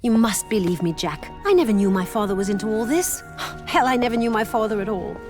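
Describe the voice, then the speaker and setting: A woman's voice: a little high, lively intonation, brisk, music in the background, close to an everyday voice. A woman playing a crime lord's earnest, worried daughter in a video game, speaking seriously. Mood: pleading. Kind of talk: video game cutscene acting.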